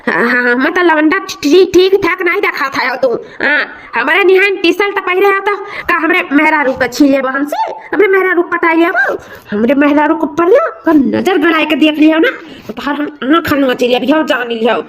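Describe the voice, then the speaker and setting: Very high-pitched blustering Awadhi village husband, acted out. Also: lively intonation, normal speed, fairly bright tone. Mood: jealous, threatening. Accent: rural Awadhi. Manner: repetitive, colloquial, blustering. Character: hot-headed, jealous, boastful village husband. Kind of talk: comedic cartoon dialogue.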